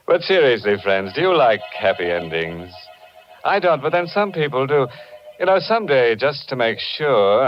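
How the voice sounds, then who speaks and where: A man playing a sardonic, ghoulish horror-show host in 1940s radio style, sly and tongue-in-cheek. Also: on the low side. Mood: sly, mocking. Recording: muffled 1940s radio, organ-era music behind.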